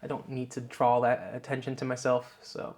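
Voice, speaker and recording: A man's voice: mid-range voice, normal speed. A man from the Netherlands with a light Dutch accent, casually vlogging to camera with lots of "uh"s, recorded on a clip-on mic in his home office. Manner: relaxed, many filler "uh"s, rambling explanations. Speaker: YouTuber. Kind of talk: casual vlog talk to camera. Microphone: wireless clip-on mic.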